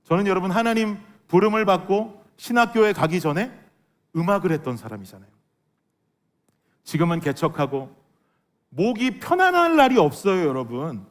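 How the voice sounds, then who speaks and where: A middle-aged man with a hoarse, worn voice, preaching an earnest, emphatic sermon as a pastor and putting rhetorical questions to his congregation. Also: high-pitched, big pitch swings, normal speed. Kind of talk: Sunday sermon with personal testimony.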